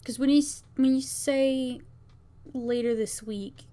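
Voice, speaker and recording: A woman with a fairly high voice talks through her work on a casual livestream. She takes her time, speaking very slowly and often muttering to herself as she reads things out and checks them. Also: fairly even intonation, dark tone. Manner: muttering to herself, reading out lines as she works. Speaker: VTuber streamer and game maker. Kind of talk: casual livestream, thinking aloud while testing a visual novel.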